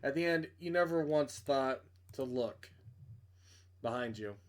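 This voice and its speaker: A man's voice: medium pitch, unhurried, lightly acted. A man voicing a menacing stalker in a horror visual novel, with cold, mocking questions. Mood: cold taunting. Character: menacing, taunting stalker messaging a victim in horror game.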